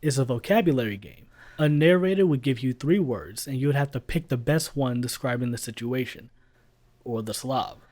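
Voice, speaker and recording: A man's voice: medium pitch, normal speed, darkish tone. Blunt, sarcastic male reviewer. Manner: blunt, sarcastic, swears freely. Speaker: YouTube reviewer. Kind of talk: casual commentary voice-over.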